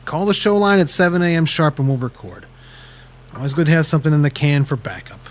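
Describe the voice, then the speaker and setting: An actor playing a wry, guarded show host in a fiction podcast, talking in a clipped, casual way. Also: male voice, fairly high pitch, animated, fast talker, lightly acted. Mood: brisk, grudging. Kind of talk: naturalistic fiction podcast acting.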